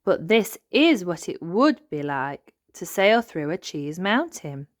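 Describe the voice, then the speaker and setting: Grown-up lady rabbit guide for a picture book, read by a woman, slow, clear announcements, matter-of-fact. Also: on the low side, big pitch swings, dry recording, studio quality. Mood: informative.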